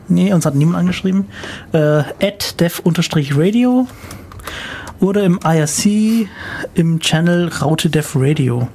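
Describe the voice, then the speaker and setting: A podcast co-host in casual chat, asking curious questions and interjecting quickly. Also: medium pitch, expressive, darkish tone, slight background noise.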